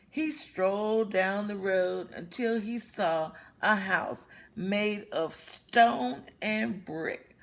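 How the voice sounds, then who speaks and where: A woman's voice: medium pitch, vocal fry. A woman reading a children's story aloud expressively, as a storyteller for young listeners. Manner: explains words with questions to young listeners.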